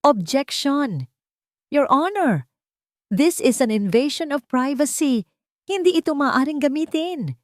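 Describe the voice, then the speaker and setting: A woman voicing a combative, desperate defense lawyer in a courtroom audio drama, forceful and indignant. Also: sits high, animated, unhurried, pauses often, no echo, clean studio sound, pitched above the performer's own voice.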